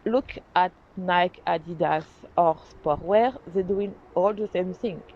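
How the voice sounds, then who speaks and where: A woman's voice: lowish voice, takes it slowly. French-accented woman podcasting, lo-fi sound. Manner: earnest, animated, restarts and self-corrections. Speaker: podcast host. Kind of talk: casual solo podcast talk. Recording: dull lo-fi sound, faint noise.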